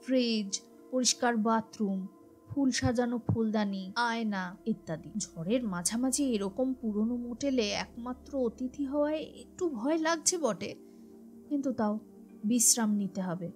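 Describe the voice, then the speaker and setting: High-voiced woman telling a horror story. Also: a little slow, a little mellow. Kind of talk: dramatic scripted story narration. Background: music behind the voice.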